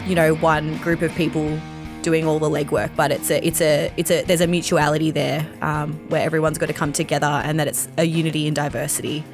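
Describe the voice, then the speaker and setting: Low-voiced woman over guitar music. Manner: thoughtful, searching for words. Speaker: podcast co-host. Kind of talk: reflective summary. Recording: clear music behind the voice.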